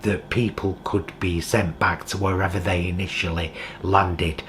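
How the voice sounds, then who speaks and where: Deep-voiced British man, slow and reflective. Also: little pitch movement, a bit bright, slight background noise. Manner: reflective, heartfelt. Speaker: vlogger. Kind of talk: casual vlog monologue. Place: home kitchen.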